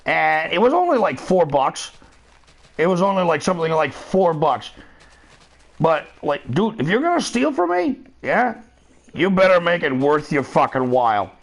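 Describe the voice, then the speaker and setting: A middle-aged man chatting casually while gaming, profane and rambling, laughing often. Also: fairly high pitch. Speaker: streamer.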